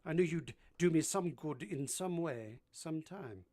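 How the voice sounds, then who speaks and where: Give me a man playing a brooding middle-aged gentleman for an old-time radio drama, intense and commanding, with halting delivery. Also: on the high side, animated. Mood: tender, reluctant. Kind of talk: vintage radio drama acting.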